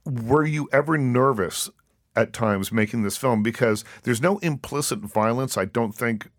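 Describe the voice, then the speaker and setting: A man's voice: mid-range voice, unhurried, no echo, studio quality. A man hosting an interview, chatty and easygoing, often restarting his sentences and laughing easily. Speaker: interview host. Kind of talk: casual interview.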